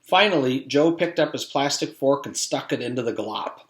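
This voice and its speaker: A man's voice: medium pitch, animated, moderate pace. Man, schoolteacher read-aloud, children's story narration, mid-range voice, roomy echo. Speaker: teacher reading aloud to a class. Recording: echoey room.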